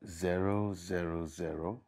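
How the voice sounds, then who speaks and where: An actor playing an earnest, apologetic man in a screen drama, speaking haltingly and often repeating himself. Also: deep voice, very animated. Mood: calm, persuasive. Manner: hesitant, halting, repeats phrases. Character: earnest, apologetic, embarrassed man caught between women. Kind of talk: naturalistic screen drama acting.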